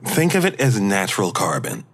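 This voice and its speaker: A man playing a laid-back, deadpan friend who talks offhandedly in a naturalistic audio drama. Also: lowish voice, moderate pace. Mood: offhand, deadpan.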